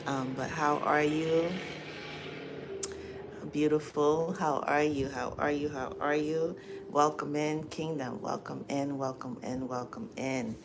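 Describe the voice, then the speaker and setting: A woman's voice: deep voice, steady tone, slow pace, noisy background. A woman hosting a live stream in casual chat, greeting viewers with many ums, stuffy from allergies and with a dry throat. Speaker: livestream host and coach.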